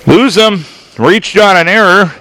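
Higher-voiced man calling baseball on radio. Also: takes it slowly, bright, forceful tone, no echo, rough recording. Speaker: baseball radio play-by-play announcer. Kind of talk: live sports play-by-play commentary.

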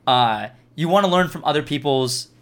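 Male host, higher-pitched voice, earnest and emphatic, reading questions and giving advice in a casual chat. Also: animated, moderate pace, fairly bright tone. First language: Farsi and English speaker. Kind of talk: casual group Q&A chat giving advice to beginner video makers.

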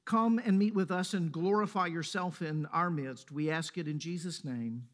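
A man with a Mississippi accent, a pastor leading a prayer and then preaching a sermon in a measured, earnest way. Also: fairly high pitch, a bit bright. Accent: Mississippi Southern. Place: church sanctuary.

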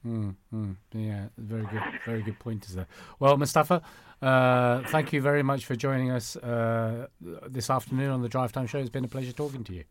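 A man's voice: medium pitch, brisk, hardly any pauses. A man hosting a radio show in a studio, talking casually with many fillers, whose first language is Cantonese. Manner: relaxed, chatty, many fillers. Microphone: studio mic.

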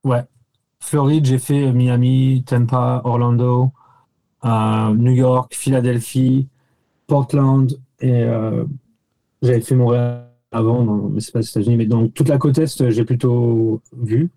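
A man's voice: medium pitch, steady tone, measured pace, mellow, low-quality recording. A man chatting casually as a guest, telling anecdotes in slangy, informal language and often searching for words. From Nancy, France. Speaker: podcast guest, expatriate living in the United States.

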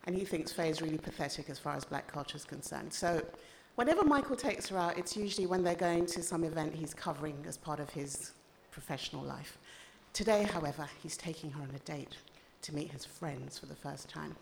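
A woman from Ghana talks to a live audience in a friendly, self-deprecating way, then reads from her novel. Her voice sits low for a woman. Faint hiss and the odd click sit in the background. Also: a little fast, creaky. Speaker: author reading her novel. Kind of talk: chatty introduction then reading aloud at a live literary event.

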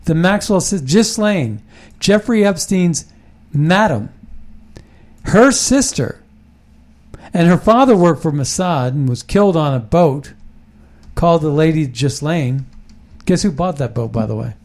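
A man's voice: a little high, animated, a little slow, darkish tone. A man hosting a casual political talk show, rambling and emphatic, often restarting his sentences.

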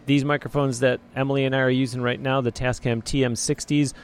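رجل بصوت باريتون عميق. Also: male voice, flat delivery, fairly quick, smooth. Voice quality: deep baritone. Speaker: podcast host. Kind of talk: interview and host-read ad. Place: remote location. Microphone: handheld recorder with external mics.

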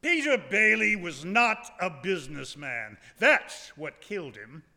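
An actor playing a villainous small-town tycoon in a live radio play, with haughty, scornful oratory in an echoey hall. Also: male voice, high voice, sing-song, unhurried, creaky, pushed and bright, exaggerated voice.

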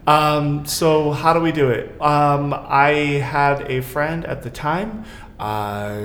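A man's voice: mid-range voice, a bit bright. A man, probably middle-aged, chatting casually on a panel and thinking aloud in a discursive way. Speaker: guest co-host on a theater podcast. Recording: roomy echo, faint background noise.

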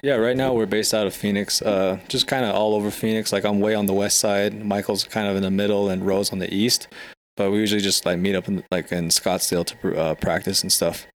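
Young male musician, low voice, loose chatty answers. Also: level tone, brisk, a little hiss or hum. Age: young adult. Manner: chatty, leans on "you know". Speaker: band member. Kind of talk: casual interview answers.

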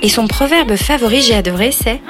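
A woman's voice: a little high, animated, a little fast. Woman hosting a podcast, music behind. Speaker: podcast host and interviewer. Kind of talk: podcast intro and informal interview.

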